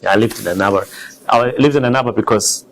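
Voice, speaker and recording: Male interview guest, lower-pitched, earnest conversational storyteller. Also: quick pace. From Zimbabwe. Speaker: interview guest, car designer.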